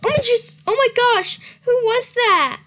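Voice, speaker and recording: A woman voicing an excitable young female apprentice cat with a breathless, squealing way of talking, reading from a fan story. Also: very high-pitched, measured pace, pitched above the performer's own voice, exaggerated voice. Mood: excited.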